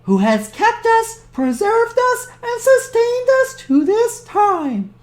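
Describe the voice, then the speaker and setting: A woman voicing a kindly, doting old woman in a storybook, speaking in a high, sing-song way. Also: sing-song, slow, heavily acted. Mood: cheerful. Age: older. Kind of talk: storybook character voice.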